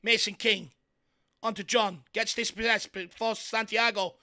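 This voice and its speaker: An Irish man hosting a fan watchalong, giving excitable partisan live commentary on a football match with a croaky, worn voice. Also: high voice, moderate pace. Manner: excitable partisan, shouts encouragement at players. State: voice going croaky from hours of talking.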